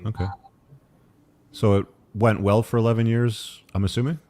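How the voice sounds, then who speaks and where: A man's voice: lowish voice, level tone, normal speed, darkish tone. A man hosting a live-stream talk show, casually asking his guests questions. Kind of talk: casual interviewing.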